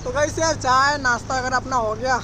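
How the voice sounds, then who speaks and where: A man's voice: extremely high pitch, steady tone, brisk. A young man who drives trucks, chatting casually to his phone camera from the cab and the roadside, often saying "guys, yaar". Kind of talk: casual vlog narration to camera. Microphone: phone held at arm's length. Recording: noisy roadside phone recording. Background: vehicle and road noise.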